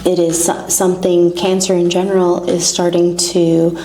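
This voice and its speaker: A woman from Canada being interviewed as a guest, speaking in short, halting phrases. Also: fairly low pitch, level tone, warm tone. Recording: echoey room with faint noise.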